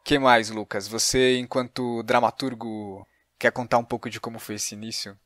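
A Brazilian man hosts a casual interview podcast. His voice sits at a middling pitch, and the sound is dry, with very little room around it. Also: level tone, moderate pace, a bit bright, dry recording. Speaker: podcast host.

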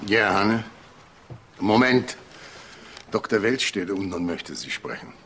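An actor playing a suspicious detective sidekick with a dry, sardonic way of talking in a crime radio drama. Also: male voice, on the low side, unhurried, noisy background.

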